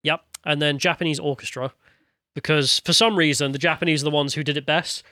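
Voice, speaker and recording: Bright-voiced man chatting on a podcast. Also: fairly high pitch, fairly even intonation, a little fast, no room sound, studio quality. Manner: chatty, laughs easily, reads viewer comments aloud. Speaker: podcast co-host. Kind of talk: casual podcast chat.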